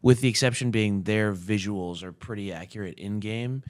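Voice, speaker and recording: A man's voice: on the low side, moderate pace, dry recording, studio quality. A male interviewer chatting casually with a guest. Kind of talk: casual chat.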